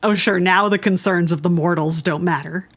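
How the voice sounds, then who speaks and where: A woman's voice: lowish voice, fairly even intonation, normal speed. A woman streaming a game with casual, sarcastic, exclamatory commentary in a chatty way. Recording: faint game music behind.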